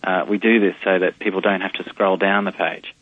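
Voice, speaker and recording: A deep-voiced Australian man answers questions down a muffled phone line, his voice husky and low. He talks in a hesitant way, full of "uh" and restarts. The line is dull, close and dry. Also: fairly even intonation, fairly quick. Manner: hesitant, many fillers and restarts. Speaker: company CEO, interview guest. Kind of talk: phone interview on radio. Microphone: telephone line.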